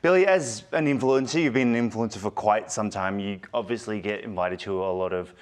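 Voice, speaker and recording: A man with a fairly low voice co-hosts a broadcast interview. He chats and teases the guest in a friendly way and moves at a loose, conversational pace. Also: a little fast, faint music behind. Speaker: co-host.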